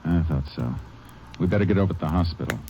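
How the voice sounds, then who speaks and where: An actor playing a calm, dogged male Texas Ranger who asks clipped, low-key questions, in a 1940s radio drama. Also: fast. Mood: steady. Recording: hissy lo-fi vintage radio recording.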